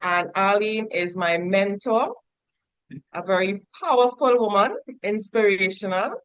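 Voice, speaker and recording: A woman with a Trinidadian accent, introducing herself as an entrepreneur on a panel in a measured, proud way. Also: mid-range voice, a bit bright, smooth, no room sound, rough recording. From Trinidad and Tobago. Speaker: guest, entrepreneur. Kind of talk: panel guest self-introduction.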